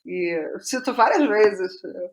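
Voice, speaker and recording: A middle-aged Brazilian woman speaking as an interview guest, reflective and halting, qualifying her own words as she goes. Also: medium pitch, animated, a little hiss or hum, rough recording. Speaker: guest playwright.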